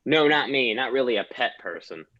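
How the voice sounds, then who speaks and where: Uptight cop, exasperated, swings up and down in pitch, muffled lo-fi sound, man playing him. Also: lively intonation, fairly quick, sharp tone. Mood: deadpan. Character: uptight male police officer, exasperated. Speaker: comedy radio-play actor. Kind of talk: parody radio-play acting.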